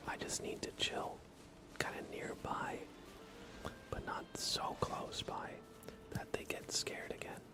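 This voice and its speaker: A man softly thinking aloud in an unhurried, whispered ASMR style as he narrates a video game livestream. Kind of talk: ASMR gaming commentary, whispered and soft-spoken.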